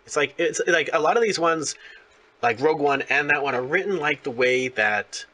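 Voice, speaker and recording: A man's voice: a little high, lively intonation, normal speed, sharp tone. A man in his thirties chatting casually as an enthusiastic fan, rambling and often repeating his words. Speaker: interviewer. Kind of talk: casual fan chat about films.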